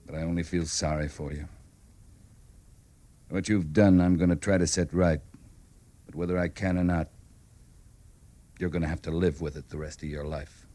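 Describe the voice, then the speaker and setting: An actor playing a stern, determined US cavalry captain in an old time radio drama, speaking in a clipped, grave, commanding way. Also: male voice, a bass voice, mellow. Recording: vintage radio drama recording.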